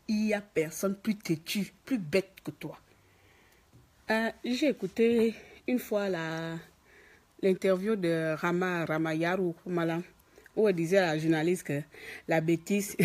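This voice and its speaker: A woman's voice: on the low side, a little mellow, breathy edge. A woman from Gabon with a Gabonese French accent, scolding and mocking in an emphatic political rant. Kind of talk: ranting political commentary to camera. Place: office.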